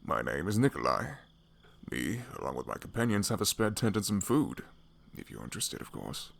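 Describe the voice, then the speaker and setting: A man voicing an older, gruff but jovial leader of travelers, teasing and self-assured, in a fantasy audio roleplay. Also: sits low, very animated, normal speed, a bit bright, heavily acted. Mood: inviting.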